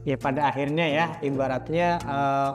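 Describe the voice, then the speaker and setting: A man's voice: mid-range voice, fairly even intonation, moderate pace. A man hosting a casual podcast interview in a chatty, informal way, often checking for agreement. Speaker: podcast host. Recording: reverberant room with background music. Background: music.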